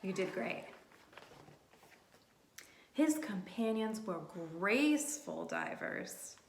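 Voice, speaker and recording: A woman doing a read-aloud storytime for young children in simple, encouraging language, animated and often asking questions. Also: mid-range voice, a little hiss or hum. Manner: animated, asks children questions and pauses for answers. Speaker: early childhood teacher reading aloud to children. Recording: roomy, echoey room sound.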